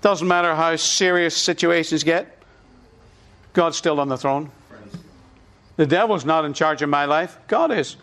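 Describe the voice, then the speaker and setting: A man's voice: fairly high pitch, unhurried, pauses often, fairly bright tone. A middle-aged man with an Irish accent, preaching a sermon and leading the congregation in call-and-response. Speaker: pastor.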